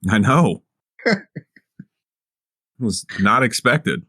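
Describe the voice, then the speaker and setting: A man's voice: fairly low pitch, lively intonation, very slow, sharp tone, husky, dry recording, clean studio sound. A man playing a tabletop game, talking casually in and out of character. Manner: easygoing, casual in-character talk. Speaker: player.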